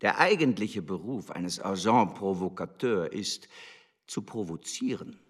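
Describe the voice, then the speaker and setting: Low-pitched, raspy-edged embassy official, arrogant, sneering, lecturing, radio drama. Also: male voice, expressive, unhurried, full character voice. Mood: haughty, lecturing. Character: arrogant, scornful male embassy official berating his spy. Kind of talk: German radio drama acting.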